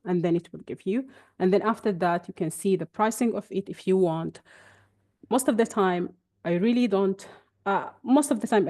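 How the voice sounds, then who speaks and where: A young woman whose first language is Arabic, presenting a webinar and walking through software on screen in a chatty, explanatory way with frequent restarts. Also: medium pitch. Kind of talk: screen-share software demonstration.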